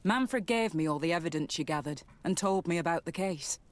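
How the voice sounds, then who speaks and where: A woman's voice: fairly low pitch, brisk, close to an everyday voice. An actress playing a firm, formal female guard commander in a video game.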